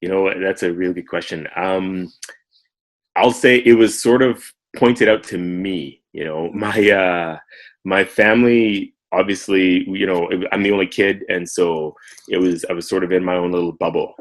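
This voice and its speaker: A Canadian man in his thirties, a guest telling his story in a relaxed way, with many ums. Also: low voice, normal speed. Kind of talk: casual interview.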